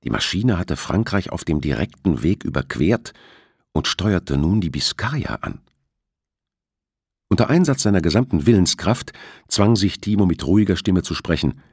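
A man with a husky voice reading a thriller novel as an audiobook narrator. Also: sits low, expressive, moderate pace, darkish tone, dry recording, clean studio sound.

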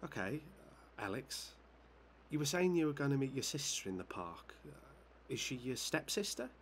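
An actor playing a measured, slightly hesitant male police chief questioning someone in a video game. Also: mid-range voice, big pitch swings. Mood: measured, official. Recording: noisy with background ambience.

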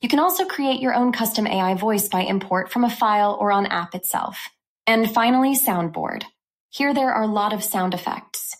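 A woman host narrating a scripted software review for a tech channel. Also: medium pitch, animated, moderate pace.

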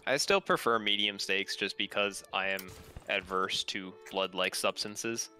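A man's voice: on the low side, fairly even intonation, measured pace, pushed and bright, faint music behind. A man bantering casually as a player on a group game stream, blunt and opinionated.

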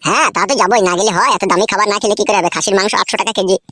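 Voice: mid-range voice, racing, bright, forceful tone, no echo, clean studio sound. A performer voicing a naive, food-loving young village son-in-law in a comedy cartoon. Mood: eager. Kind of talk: Bengali comedy cartoon dub.

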